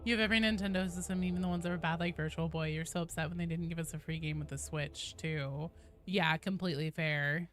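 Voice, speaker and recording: A woman's voice: sits low, steady tone, quick pace, slight background noise. A woman doing casual live gaming commentary, informal and sweary, chatting with her viewers. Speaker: game streamer, host.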